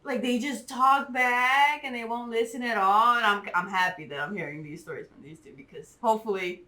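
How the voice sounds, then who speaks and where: A speaker with a bright, clear tone, a former teacher taking part in a relaxed conversation. They listen closely and answer with warm approval. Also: medium pitch, fairly quick. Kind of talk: casual chat.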